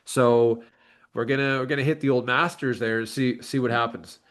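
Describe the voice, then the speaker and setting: Man, late thirties, medium pitch, candid and earnest, informal interview guest. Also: steady tone, moderate pace, a bit bright. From Canada. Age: late 30s. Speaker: bodybuilder and online coach, interview guest. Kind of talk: casual interview chat.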